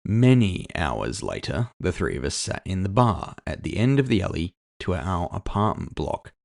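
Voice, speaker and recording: A man reads first-person fiction as its narrator. His voice is low with plenty of fry. The recording is very dry, with hardly any room sound. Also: very animated, moderate pace, darkish tone, dry recording.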